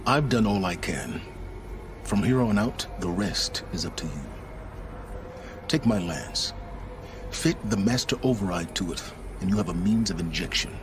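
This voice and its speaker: An actor playing a proud, calculating middle-aged scholar in exile in a video game, speaking in a measured, formal, precise way. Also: male voice, low voice, animated, background noise. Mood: grave, aloof. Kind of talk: video game drama acting. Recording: music under the voice.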